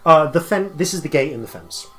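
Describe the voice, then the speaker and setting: A man running a tabletop game as game master, describing scenes off the cuff and haltingly as he thinks aloud. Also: on the high side, expressive, sharp tone, faint music behind. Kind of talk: improvised narration of a tabletop game.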